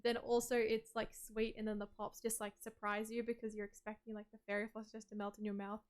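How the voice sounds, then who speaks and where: A woman's voice: on the high side, little pitch movement, a little fast. A woman chatting casually on a livestream, rambling with lots of "like" and "um" and laughing often as she reads out viewer messages.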